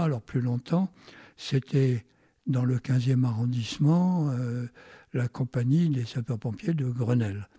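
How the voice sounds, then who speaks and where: A Frenchman in his nineties shares memories in an interview. His voice is dark and husky, recorded dry with little room sound. He speaks haltingly, searching for his words as he goes. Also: mid-range voice, no echo. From France. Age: 90s. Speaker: interview guest recalling his life. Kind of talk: unscripted reminiscence.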